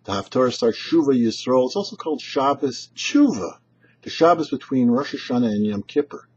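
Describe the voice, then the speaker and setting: A man reading a short religious commentary as a rabbi and show host, teaching in deliberate, measured phrases. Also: mid-range voice, animated, slight background noise. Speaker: rabbi, host of a weekly Torah portion show. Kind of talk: short scripted religious commentary, read aloud. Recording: dull, lo-fi, muffled audio.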